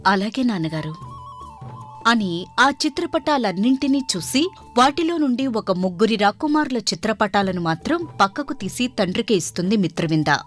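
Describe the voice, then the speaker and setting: A woman narrates a scripted story. Her intonation is lively and varied, and faint music plays behind her. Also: on the high side, normal speed.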